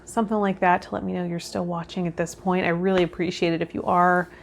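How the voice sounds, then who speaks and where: A woman with a somewhat low voice speaks straight to her viewers as a tarot reader. Her manner is gentle and reflective, like spiritual guidance in a vlog. Some faint noise sits behind her voice. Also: little pitch movement, fast, vocal fry. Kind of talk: casual spiritual guidance talk to viewers, partly read out.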